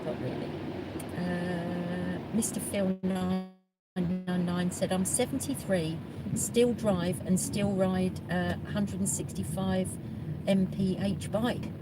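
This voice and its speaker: Slow-talking woman in a noisy car. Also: fairly low pitch, little pitch movement. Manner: chatty, laughing, lots of ums and uhs. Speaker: live stream co-host reading chat. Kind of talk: casual chat reading viewer comments. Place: in a moving car. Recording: loud road noise in car.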